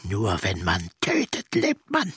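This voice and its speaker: An actor playing a menacing, fanatical old Nazi commander in a radio play, muttering tensely with a gravelly, husky voice that often breaks into hoarse whisper. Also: male voice, fairly low pitch, huge pitch swings, takes it slowly, fairly bright tone, dry recording, studio quality, heavily acted. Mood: hateful, obsessed. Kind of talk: German radio play acting.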